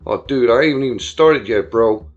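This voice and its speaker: A man's voice: mid-range voice, moderate pace, natural voice. A man voicing a crude, aggressive young frat-bro bully who taunts and swears. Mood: taunting. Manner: loud, swaggering, foul-mouthed taunting. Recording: dull lo-fi with faint music.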